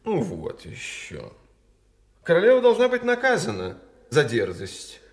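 A man's voice: fairly high pitch, wildly swooping pitch, unhurried, heavily acted. An actor playing a petulant, suspicious, giggling French king in a radio drama, with a theatrical, capricious manner. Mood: indignant. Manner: theatrical, capricious, mood-swinging.

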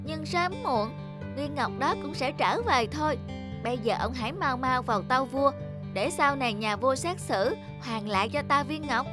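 A woman's voice: sits high, moderate pace, music in the background, pitched above the performer's own voice, close to an everyday voice. A woman voicing a wise, shrewd little toad spirit who gives instructions and admonishes in a cartoon folk tale. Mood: scolding, advising.

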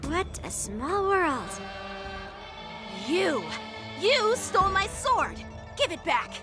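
A woman's voice: very high voice, very animated, slow, exaggerated voice. A woman voicing an earnest, hot-tempered young male knight lieutenant in a game, with background music under the lines. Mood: indignant. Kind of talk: game voice acting.

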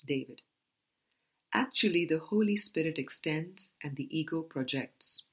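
A woman reading a spiritual book aloud as scripted narration, in a deliberate manner with pauses between phrases. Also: low-pitched, a bit bright, husky. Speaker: audiobook reader.

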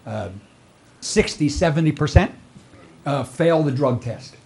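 A man's voice: a little high, animated, a little mellow, husky. Middle-aged male presenter, earnest, filler-heavy council report, upper-range voice. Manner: earnest, fast-moving with frequent fillers. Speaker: workforce program presenter. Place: council chamber, standing before seated audience.

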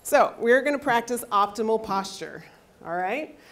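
A woman in her thirties giving a health lecture into a handheld mic in a university lecture hall, loud and energetic, often checking in with the audience. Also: a little high, moderate pace. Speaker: athletic trainer and lecturer. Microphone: handheld microphone.

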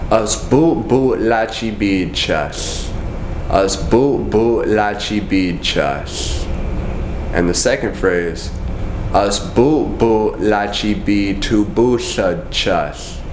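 A young man with a fairly low voice leads a language lesson, speaking slowly and evenly. He repeats each phrase with measured care. The room gives his voice a roomy echo, with a faint hum behind it. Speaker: language teacher. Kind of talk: slow, careful language lesson. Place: indoors, in front of a wooden wall.

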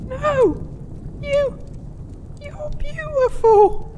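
Someone playing a very high-pitched, timid servant boy. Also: very slow, dark tone, slight background noise, heavily acted. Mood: timid, confused. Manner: hesitant, stammering. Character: timid naive boy servant George, polite and frightened. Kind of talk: comedic fantasy audio drama acting.

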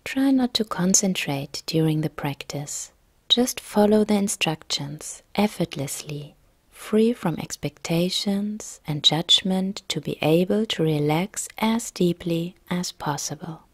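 Slow, breathy woman guiding deep relaxation. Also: dry recording. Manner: calm, soft, breathy, instructing gently. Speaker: yoga nidra instructor. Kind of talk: guided relaxation meditation narration.

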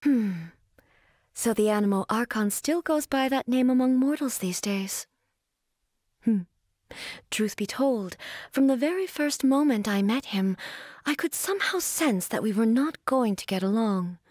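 A regal warrior goddess speaks calmly and with reserve. Her voice sits a little high and stays even. The game recording is close and dry. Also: measured pace, no room sound. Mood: composed. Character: regal female warrior god, composed and stern. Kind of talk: game character voice line.

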